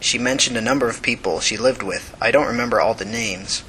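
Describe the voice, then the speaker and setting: A man's voice: medium pitch, brisk, sharp tone, a little hiss or hum, natural voice, poor audio. A man voicing a guarded, nervous man in his twenties with careful, precise pronunciation, in an audiobook. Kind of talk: audiobook character acting.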